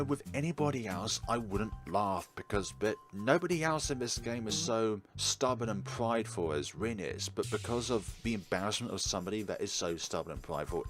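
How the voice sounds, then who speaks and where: Low-voiced man reading a game aloud. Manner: reads story text aloud, acting out dialogue. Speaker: gaming video host. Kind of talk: playthrough reading and commentary. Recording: loud music behind the voice. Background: game music.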